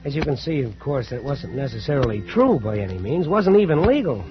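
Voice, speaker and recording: A man's voice: mid-range voice, sing-song, brisk, close to an everyday voice. A man acting as a hot-headed, blunt ship's first mate with salty sailor talk in an old-time radio play. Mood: wry storytelling. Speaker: actor and narrator in a radio play. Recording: muffled vintage radio recording with music.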